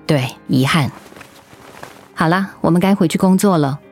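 A gentle, earnest woman who has come through illness and comforts a colleague. Her voice sits on the low side, reassuring and sincere, in a radio drama with music behind her. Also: moderate pace. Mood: gentle, encouraging. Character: caring older female colleague who survived breast cancer.

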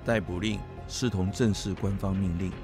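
An actor playing a bullying government agent in a radio drama, contemptuous and threatening. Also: male voice, low-pitched, steady tone, moderate pace, a little mellow, soft background music. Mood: cold, official. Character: sneering, bullying government agent making an arrest.

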